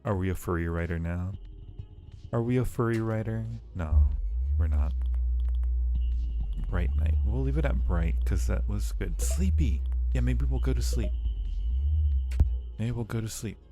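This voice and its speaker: Male game streamer, deep mellow voice, thinks out loud while reading on-screen text, music underneath. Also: lively intonation, unhurried, pauses often. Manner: musing aloud, repeats phrases to himself. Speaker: live streamer. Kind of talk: live game commentary mixed with reading game text aloud. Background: background music.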